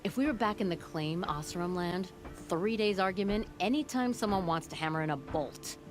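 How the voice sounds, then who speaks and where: A woman's voice: lowish voice, brisk, close to an everyday voice. An actress playing a proud, middle-aged forge boss in a video game, blunt, wry and folksy, with music under her. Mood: boastful, amused. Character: brash, proud older forge boss woman, gruffly humorous. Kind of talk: video game character acting.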